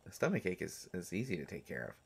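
A man voicing an affectionate, mischievous vampire doctor in an intimate romantic audio roleplay, speaking in a halting, teasing way. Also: deep voice, very animated, slightly rough, exaggerated voice. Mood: fond, casual. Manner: halting, teasing, soft-hearted speech. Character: gentle, mischievous male vampire doctor, centuries old, affectionate.

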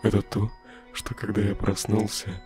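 A man's voice: fairly low pitch, monotone, normal speed, music in the background, close to an everyday voice. A man playing a serious, subdued skeleton monster in a comic dub. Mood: reflective.

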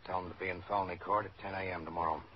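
An actor playing a tough police detective in an old radio drama, dry, laconic and needling. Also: male voice, sits low, fairly even intonation, racing, lightly acted. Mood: dryly needling. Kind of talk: 1950s radio drama acting. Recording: muffled, noisy old radio recording.

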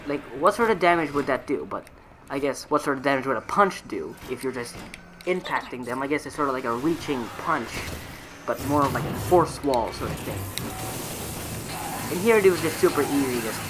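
A man's voice: mid-range voice, expressive, fairly quick, noisy background. A young man giving casual, rambling, self-mocking voiceover commentary on his own gameplay clips. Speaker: gaming video creator. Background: game music.

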